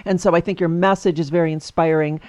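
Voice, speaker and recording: A woman's voice: on the low side, fairly even intonation, fast talker, a little mellow, no echo. A woman hosting an interview, talking enthusiastically and laughing often as she introduces and questions her guest. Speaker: podcast host. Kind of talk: interview conversation.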